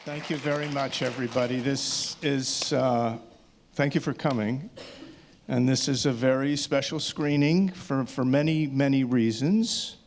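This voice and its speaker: A man, a filmmaker speaking informally and haltingly to an audience at a screening, often restarting his words. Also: medium pitch. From Canada. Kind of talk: informal unscripted speech to an audience.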